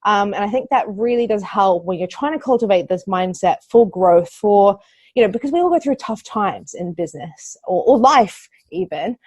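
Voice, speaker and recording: A woman in her thirties speaks with a New Zealand accent, earnest and thinking aloud, with plenty of ums. Her pitch sits mid-range for a woman. The sound is close and dry, with no room around it. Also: expressive, moderate pace, darkish tone, husky, dry recording. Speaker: guest, digital marketing agency owner. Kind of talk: podcast interview.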